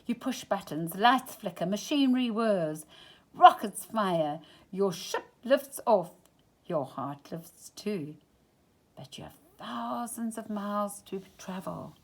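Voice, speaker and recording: A woman reading a children's picture book aloud in an expressive, dramatic storytime style. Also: mid-range voice, slow. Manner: dramatic, drops into hushed whispers for suspense. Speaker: storytime reader.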